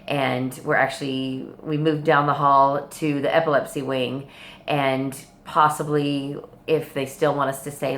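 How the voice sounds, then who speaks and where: Low-pitched woman, casual meandering vlog chat. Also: echoey room. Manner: hesitant, meandering, trailing off and backtracking. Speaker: book vlogger. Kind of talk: casual rambling video chat. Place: hospital room.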